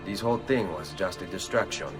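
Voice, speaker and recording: An actor playing a brooding, determined Italian assassin in a video game, speaking gravely and with measure in an Italian accent. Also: male voice, sits low, brisk, far from the mic, over music, lightly acted. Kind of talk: dramatic video game acting.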